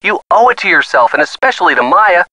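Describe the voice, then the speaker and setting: A man's voice: high-pitched, sing-song, quick pace, pushed and bright, no room sound, exaggerated voice. A man acting an earnest young boyfriend in a video game, giving encouraging hints with folksy turns of phrase. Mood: supportive, earnest. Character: earnest young boyfriend coaching a teen detective by phone. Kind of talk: scripted video game hint lines.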